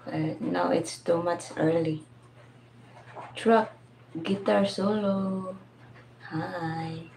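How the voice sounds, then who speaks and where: Filipina lady on a phone livestream, slow easygoing talk, lots of laughing, faint room noise. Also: fairly low pitch, steady tone, vocal fry, darkish tone, poor audio. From Philippines. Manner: laughs often, reads and greets viewer names. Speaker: live stream host. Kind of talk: casual live stream chat with viewers.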